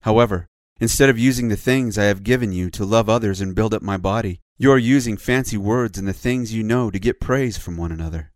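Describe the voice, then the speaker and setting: A man's voice: on the low side, brisk, hardly any pauses, no room sound, clean studio sound, natural voice. A man playing a stern but loving divine lord in a children's story, solemn and admonishing.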